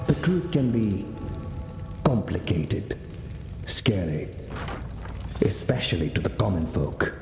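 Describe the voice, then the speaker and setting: Wise cryptic game mentor, low slow formal voice, lots of echo. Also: male voice, very animated, vocal fry, full character voice. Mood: solemn, instructive. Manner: measured, formal, instructive. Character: wise male mentor, calm, cryptic and wry. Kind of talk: video game cutscene acting. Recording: echoey hall reverb, music behind.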